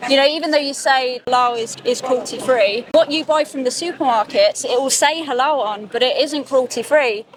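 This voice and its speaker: A woman's voice: sits high, moderate pace, few pauses, rough recording. A woman interviewing passersby outdoors, talking in an earnest, persuasive way and asking probing questions. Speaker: street interviewer. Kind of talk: street outreach conversation. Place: outdoors in a busy public street. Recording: outdoor street recording with crowd noise.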